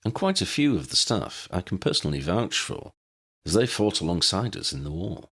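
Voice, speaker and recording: Man playing an earnest, animated law officer. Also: low-pitched, normal speed, slightly rough, exaggerated voice. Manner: direct, polite, slightly irritable. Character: earnest, decisive male head of wizard police.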